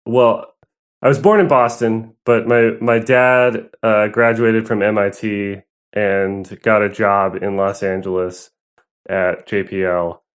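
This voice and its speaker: A man's voice: lowish voice, level tone, slow, smooth. A young man from Southern California, a guest musician telling his story in a rambling, hesitant way. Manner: rambling, hesitant, with many fillers and laughs. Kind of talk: casual interview.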